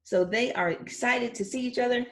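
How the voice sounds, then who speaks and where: A woman reading a picture book aloud to young children in an expressive voice, pausing to chat casually about the illustrations. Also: a little high. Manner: expressive, acts out sound words. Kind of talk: read-aloud storytelling with casual commentary.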